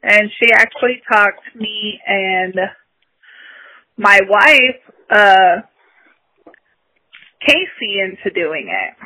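A woman's voice: mid-range voice, very animated, extremely slow, long pauses, sharp tone, breathy edge, poor audio. A woman answering interview questions casually, with shy little laughs. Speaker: guest.

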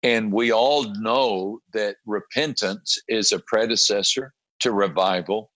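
A man, a pastor, with a raspy voice after a late night, talking in halting conversation, often repeating words and clearing his throat. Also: on the low side, a bit bright, no room sound, low-quality recording. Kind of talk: conversational interview about a prayer group.

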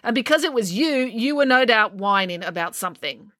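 A woman's voice: on the high side, lively intonation, fairly quick, a bit bright, no echo, studio quality, pitched above the performer's own voice. A woman voicing a fierce, bossy young girl in a children's story, short-tempered and sarcastic. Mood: scornful, snapping. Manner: snapping, sarcastic.

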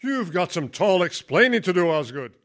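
A man's voice: sits high, big pitch swings, moderate pace. A man playing a stern, indignant head of a school board, demanding answers in radio comedy style.

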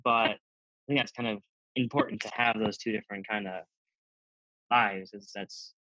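A man's voice: lowish voice, fairly even intonation, normal speed, sharp tone, dry recording, lo-fi sound. A young man from Zambia raised in the Midwest, talking easily in a casual interview with lots of "you know". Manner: easygoing, many fillers like "you know".

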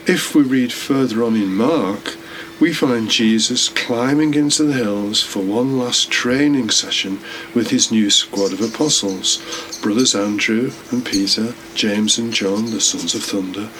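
A man's voice: lowish voice, measured pace, fairly bright tone, low-quality recording. Man giving a reflection over background noise. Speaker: podcast host giving a religious reflection. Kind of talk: scripted sermon-like reflection with sporting analogies.

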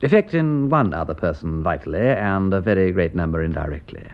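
An actor playing an aristocratic English gentleman detective in a radio drama, with an upper-class English accent and a witty, breezy, urbane manner. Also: deep voice, expressive, fairly quick, highs cut off. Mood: guarded, grave. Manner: aristocratic, breezy, clipped period phrasing.

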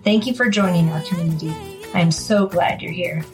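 A woman's voice: on the low side, brisk, music in the background. A woman hosting a podcast, reading an upbeat intro and interviewing a guest with enthusiasm. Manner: enthusiastic, warm praise of guest. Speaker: podcast host.

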